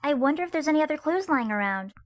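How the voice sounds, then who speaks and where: A woman's voice: high voice, lively intonation, quick pace. A woman voicing a perky, curious teenage girl sidekick in an amateur game fandub. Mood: wistful. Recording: music faintly behind the voice.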